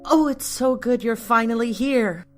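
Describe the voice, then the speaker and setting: A woman's voice: a little high, a little slow, faint music behind, close to an everyday voice. A woman playing a smug, doting, self-dramatizing aunt in an audio story, with a cooing, syrupy manner. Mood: gushing. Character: smug, doting, self-dramatizing aunt fussing over her pet creature. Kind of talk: audio horror-comedy story acting.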